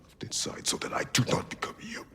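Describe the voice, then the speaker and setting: An actor playing a weary, remorseful warrior god in a video game, grave and stern, speaking in slow, halting phrases with a deep, gravelly voice. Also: male voice, fairly bright tone, big-room sound, lightly acted. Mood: torn, searching. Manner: slow, halting, solemn, dropping to breathy near-whispers. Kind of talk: dramatic video game monologue.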